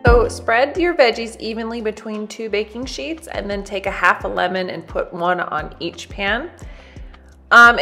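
A woman's voice: mid-range voice, a bit bright. Bubbly woman walking through a recipe. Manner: bubbly, chatty, addresses viewers as "you guys". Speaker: cooking channel host. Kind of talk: recipe demonstration, voice-over and to camera. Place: home kitchen, indoors.

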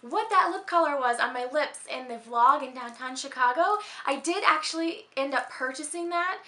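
Casting: American female vlogger, 30s, high-pitched and slightly hoarse, gushy casual chat, recorded in an echoey room at home. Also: moderate pace, sharp tone. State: slightly hoarse, losing voice from a cold. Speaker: beauty and handbag vlogger. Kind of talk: casual, chatty vlog talk to camera. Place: small furnished room at home. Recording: roomy indoor echo.

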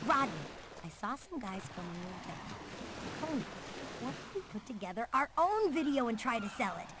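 A high, excitable young comic artist. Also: female voice, normal speed, noisy background. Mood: enthusiastic. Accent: American. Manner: gushing, slangy. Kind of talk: video game cutscene acting.

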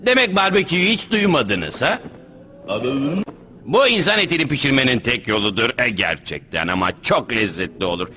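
Blustering, wildly animated cartoon pirate captain. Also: male voice, mid-range voice, moderate pace, a little hiss or hum. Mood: sly, scheming. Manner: theatrical, sarcastic, blustering. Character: crazy pirate captain, boastful, scheming, easily exasperated man. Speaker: cartoon voice actor. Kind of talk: animated cartoon dub acting.

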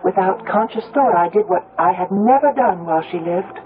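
An actress playing a reflective, grieving grown daughter who tells her story in measured, literary style in a radio drama. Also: medium pitch, animated, natural voice. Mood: sorrowful, reflective. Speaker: lead actress and narrator. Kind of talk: 1970s radio drama acting. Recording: muffled phone-like old radio recording, music.

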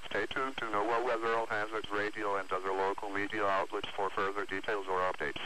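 Synthetic male announcer, low and forceful, scripted weather alerts, radio broadcast. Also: level tone, brisk, slight background noise, low-quality recording. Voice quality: synthetic text-to-speech voice. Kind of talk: scripted hazardous weather bulletin.

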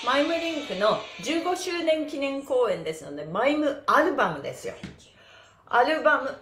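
A middle-aged woman with a high voice hosts a live stretch class. She is upbeat and exclamatory, urging viewers along. The room is echoey, with some music behind her. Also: a bit bright. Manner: cheerful, exclamatory, encouraging. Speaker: stretching instructor and pantomime performer. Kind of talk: livestreamed exercise lesson with chanted counting and chatty asides. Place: small bare room indoors. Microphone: camera mic a few steps away.

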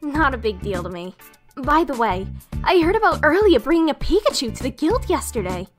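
Woman as a high, breezy cartoon fox creature. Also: big pitch swings, a little fast, soft background music. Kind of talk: animated comic dub acting.

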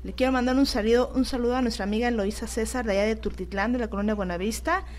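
A woman's voice: a little high, fast talker, darkish tone. A woman with a Mexican accent speaking as a guest on a live radio talk show.

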